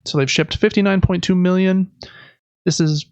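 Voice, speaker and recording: Guy hosting a podcast, chatty news rundown with little asides, gravelly fry, bit of a muffled recording. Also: high voice, fairly even intonation, normal speed, a little mellow, no echo. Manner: conversational, explanatory asides. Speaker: podcast host. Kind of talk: casual gaming news recap.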